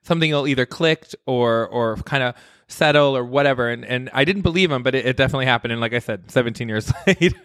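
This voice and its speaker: A man's voice: mid-range voice, quick pace, fairly bright tone, clean tone, no echo, studio quality. A man from the Midwest hosting a podcast interview, upbeat and chatty, laughing often. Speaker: podcast host. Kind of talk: casual interview chat.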